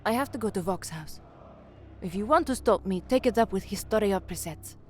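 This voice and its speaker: An actress plays a young working woman from a dystopian slum in an audio drama. She speaks earnestly and frankly at a middling pitch, with a wry, anxious edge. Some background noise sits under the voice. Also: lively intonation, brisk, lightly acted. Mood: urgent, insistent. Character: anxious young working-class woman, trainee radio newsreader in a hive slum. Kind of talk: audio drama acting with first-person narration.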